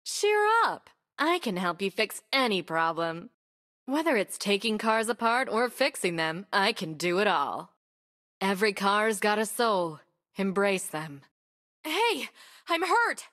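A woman voicing a playful, confident young female car mechanic with expressive quips, as a video game character. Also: medium pitch, measured pace. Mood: playful, upbeat.

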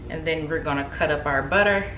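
A woman in her thirties casually talking through a recipe in her home kitchen, chatty and relaxed, with lots of ums and likes. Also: deep voice, quick pace. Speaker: home cook vlogger. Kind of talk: casual cooking demonstration. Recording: echoey kitchen with background noise. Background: TV playing in the background.

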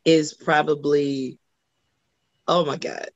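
A middle-aged woman from Los Angeles with a husky voice, talking as a guest singer on a video call. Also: low-pitched, flat delivery, slow pace, no echo. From Los Angeles, USA. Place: home room indoors. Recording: dull lo-fi video call audio.

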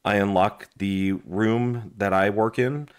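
A man who is hoarse and losing his voice, chatting casually with lots of fillers while he reviews his gear at a desk indoors. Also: lowish voice, steady tone, slow pace. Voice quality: raspy. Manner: chatty, rambling, with frequent fillers and restarts. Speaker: gear review channel host. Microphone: overhead camera on desk, hands only.

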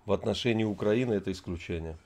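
Calm, needling man on a webcam. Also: lowish voice, fast talker. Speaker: video chat host. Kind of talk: quizzing strangers on video chat. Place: room, seated in an armchair.

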